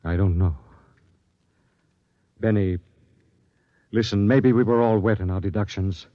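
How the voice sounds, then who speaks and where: An actor playing a driven, earnest spaceship captain with an intense, probing manner in a 1950s radio drama. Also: male voice, lowish voice, unhurried, dark tone, no echo, lightly acted. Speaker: lead actor and narrator. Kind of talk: 1950s radio drama acting, first-person narration. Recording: dull 1950s radio recording.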